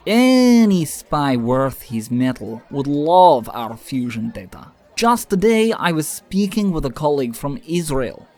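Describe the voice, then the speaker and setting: A man's voice: on the high side, big pitch swings, unhurried, hardly any pauses, a little mellow, faint music behind. A man playing a proud, mournful Soviet bomb scientist in a comic dub, declaiming his lines. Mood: boastful.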